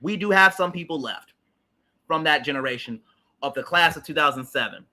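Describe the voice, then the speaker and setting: A man's voice: on the high side, a little fast, sharp tone. A man, hosting a livestream and ranting about politics in a heated, emphatic, repetitive way with blunt swearing. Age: late 30s. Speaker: livestream host.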